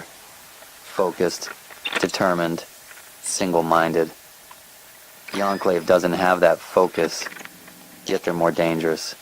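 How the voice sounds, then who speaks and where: An actor playing a brooding, analytical man narrating a video game in a measured, grave way. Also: low voice, background noise.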